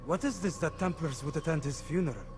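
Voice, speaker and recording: A man's voice: on the high side, fast talker, lightly acted. A man playing a candid, proud assassin comrade in a video game, speaking formally and pointedly with music behind him. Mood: questioning. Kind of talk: dramatic video game dialogue.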